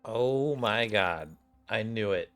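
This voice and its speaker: A man's voice: on the low side, lively intonation, slow, a bit bright. A man giving casual reaction commentary as a game streamer, musing and thinking aloud. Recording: game music behind the voice.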